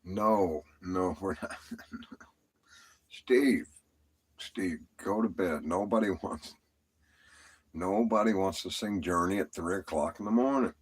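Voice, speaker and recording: A man's voice: low-pitched, takes it slowly, long pauses, breathy edge, dry recording. A tired man rambling and swearing on a late-night live stream, lying down as he talks and repeating himself. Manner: talking while lying down.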